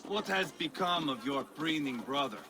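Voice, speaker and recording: An actor playing an unstable, sadistic Viking warrior in a video game, theatrical and crude, with a sneering, taunting manner. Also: male voice, fairly high pitch, expressive, moderate pace, noisy background, close to an everyday voice. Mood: gloating. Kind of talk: dramatic game cutscene acting.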